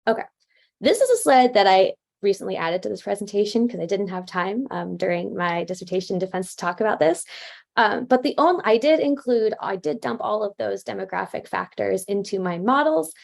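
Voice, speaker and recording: A woman's voice: mid-range voice, expressive, brisk, creaky, a little mellow. A woman presenting research at an academic conference in a casual way, with many fillers and self-corrections.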